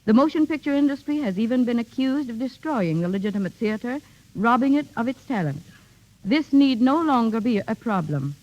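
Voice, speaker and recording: A middle-aged woman reading a formal, measured 1930s radio address as a guest speaker. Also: a little high, expressive, a little mellow. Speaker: guest speaker, theater director. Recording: muffled 1930s radio broadcast.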